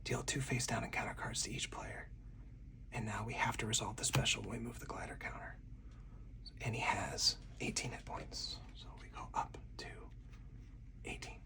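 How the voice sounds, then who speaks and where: A man speaking in a hushed ASMR whisper, thinking aloud as he talks through each move of a solo card game. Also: mid-range voice, distant mic.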